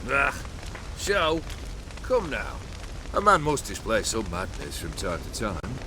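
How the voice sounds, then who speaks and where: A man's voice: on the low side, huge pitch swings, slow, background noise, full character voice. An actor playing a cold, world-weary immortal nobleman in a video game, speaking with arrogant, sardonic, archaic phrasing. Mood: wry, world-weary. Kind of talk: video game character acting.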